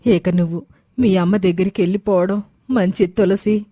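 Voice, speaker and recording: Woman as solemn protective mother, mellow voice. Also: medium pitch, steady tone, normal speed, no room sound, studio quality, natural voice. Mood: grave, urgent. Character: grave, protective foster mother revealing the truth. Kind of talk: Telugu moral story character acting.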